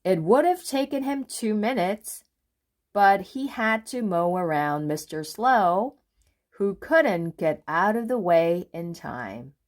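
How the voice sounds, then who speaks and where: A woman reading a children's book aloud in an expressive narrating style, with deliberate, dramatic phrasing. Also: mid-range voice. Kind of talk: expressive storybook read-aloud.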